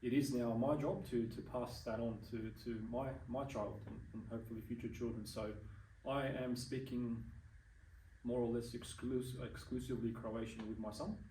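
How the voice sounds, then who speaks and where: Earnest Australian man, dark-toned voice. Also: mid-range voice, fairly even intonation, reverberant, recorded from a distance, slight background noise, low-quality recording. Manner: earnest, measured, with frequent ums and restarts. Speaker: interview guest. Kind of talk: casual interview chat.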